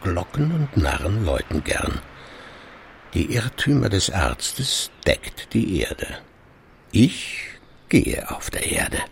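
A man's voice: sits low, sing-song, takes it slowly, vocal fry, breathy edge, exaggerated voice. An actor playing a wry, self-satisfied retired radio editor in his sixties, musing theatrically to himself in a radio play. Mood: scornful. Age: 60s. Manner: musing to himself, theatrical shifts between murmur and exclamation. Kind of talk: German radio play monologue.

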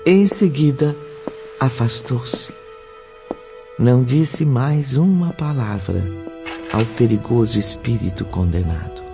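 A man's voice: medium pitch, animated, takes it slowly, vocal fry, pauses often. A man with a Brazilian accent reading scripted narration for a radio drama. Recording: dull lo-fi audio under loud music.

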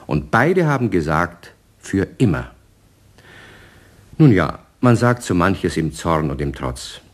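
Male narrator for children's radio drama: deep voice, lively melody, colloquial storytelling. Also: takes it slowly.

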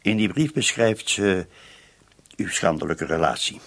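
A deep-voiced, husky police commissioner in a radio play. He is grave and formal, patient in questioning, and measures out his words as he probes. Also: a little slow, fairly bright tone, full character voice. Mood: stern, official. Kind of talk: radio drama acting.

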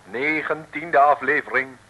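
A man's voice: a little high, measured pace, noisy background. A man narrating an old radio serial in a theatrical, tongue-in-cheek, humorous style. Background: music.

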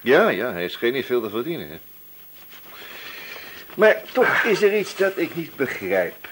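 An actor in a radio play voicing a shrewd, patient police inspector who probes casually in a musing, hesitant way. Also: male voice, mid-range voice, sing-song, measured pace, heavy background noise. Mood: pressing, suspicious. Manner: musing, hesitant probing with repeated questions. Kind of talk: radio drama dialogue.

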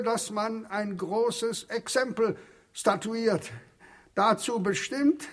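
A zealous male revolutionary speaks in a high-pitched voice. He orates with cold, doctrinaire insistence and stretches phrases for weight, as in a political radio drama. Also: a bit bright, heavily acted. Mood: fervent, proclaiming. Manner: rhetorical, declamatory, with drawn-out emphatic phrases.

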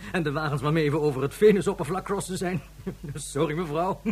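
A man's voice: a little high, a little fast, slight background noise, lightly acted. A man acting a jovial, chatty space-port official in a radio drama. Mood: amused. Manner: chatty, prone to chuckling.

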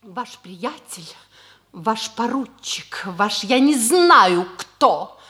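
An actress playing a bossy, melodramatic sister in a 1960s Russian radio comedy, exclamatory and sugary by turns. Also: female voice, on the high side, lively intonation, very slow, bright, forceful tone, exaggerated voice. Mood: outraged. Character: bossy, coaxing, melodramatically outraged sister of a major.

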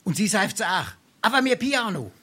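An actor playing a roguish, boozy, pompous scrounger in a theatrical farce, speaking with a Darmstadt Hessian accent. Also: male voice, high-pitched, very animated, moderate pace, bright, forceful tone, full character voice. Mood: coaching. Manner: pompous, florid, wheedling, declamatory. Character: roguish, boozy, grandiloquent male scrounger coaching his friend. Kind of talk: theatrical dialect farce acting.

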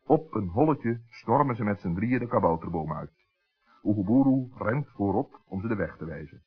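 A man narrating a children's story in 1960s radio style, with a husky voice. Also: on the low side, lively intonation, moderate pace. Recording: tinny old radio recording with music.

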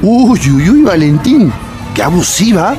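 A man's voice: high-pitched, sing-song, unhurried. A man playing a rowdy, leering young buddy at a football match in a radio soap, slangy and jeering, with a Peruvian accent. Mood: leering, teasing. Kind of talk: radio soap opera acting. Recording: loud music and crowd behind.

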